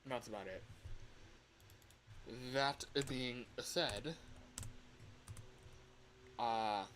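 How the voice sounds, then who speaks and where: A man's voice: mid-range voice, drawn-out words, fairly bright tone, slight background noise. A man hosting a casual livestream tutorial, talking in a chatty, rambling, informal way into a microphone. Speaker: livestream host. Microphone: microphone on a home streaming setup.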